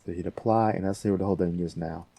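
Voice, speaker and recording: A man with a very low, dark voice hosts a casual software tutorial. He talks unscripted, thinking aloud as he works. The sound is dry, recorded close to the microphone. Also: steady tone, racing, vocal fry, dry recording. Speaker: tutorial host.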